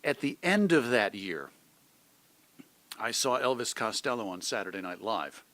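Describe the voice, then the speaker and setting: A man's voice: on the low side, big pitch swings, measured pace, fairly bright tone, no room sound. A middle-aged man with an American accent, talking reflectively and articulately as a guest in a conversational interview. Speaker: interview guest, radio host and editor.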